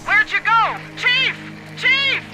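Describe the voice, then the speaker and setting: A woman's voice: very high-pitched, lively intonation, slow pace, over music, full character voice. A woman playing a worried military dispatcher calling urgently in a video game cutscene. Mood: alarmed.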